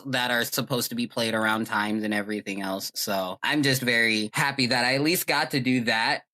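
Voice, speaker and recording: Very deep-voiced young American woman. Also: steady tone, moderate pace, sharp tone. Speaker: podcast host. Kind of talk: casual chat.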